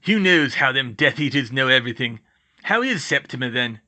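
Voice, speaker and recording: A man voicing a big, gruff but kindly older giant of a man in a storybook, with rustic, ungrammatical speech. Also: mid-range voice, expressive, moderate pace, sharp tone. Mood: grumbling concern. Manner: rustic, ungrammatical, dropped words.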